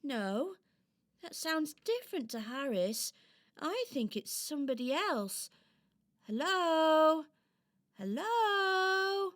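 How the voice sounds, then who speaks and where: A woman's voice: sits high, very animated, a little slow, pitched above the performer's own voice. A woman voicing a small, brave toy doll girl, eager, kind and earnest, in a children's radio play. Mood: anxious. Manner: earnest, exclaiming, hesitant.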